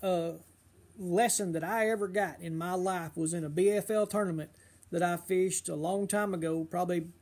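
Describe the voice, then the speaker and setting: A middle-aged man, slightly hoarse, chatting casually on a fishing livestream and giving advice with lots of uh and um. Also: sits high, steady tone, moderate pace, a little mellow. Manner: rambling, full of uh and um fillers.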